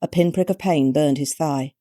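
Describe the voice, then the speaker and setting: A British woman narrating her own short story with measured, wryly expressive storytelling. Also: deep voice, no room sound, clean studio sound. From Britain.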